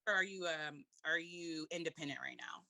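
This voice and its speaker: Female co-host, bright low voice, curious and a little hesitant. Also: normal speed, gravelly. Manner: curious, asks questions with hesitant fillers. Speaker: podcast co-host. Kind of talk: casual interview questions.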